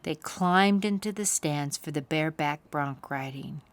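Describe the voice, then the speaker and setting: A woman hosting a children's story time, reading aloud in an animated storybook style. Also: deep voice, a little slow.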